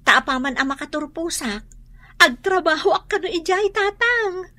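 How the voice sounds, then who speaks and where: A woman's voice: very high-pitched, animated, normal speed, sharp tone, heavily acted. A woman with a Filipino accent playing an earnest, respectful young student in an Ilocano radio drama. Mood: earnest, hopeful. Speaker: drama actor.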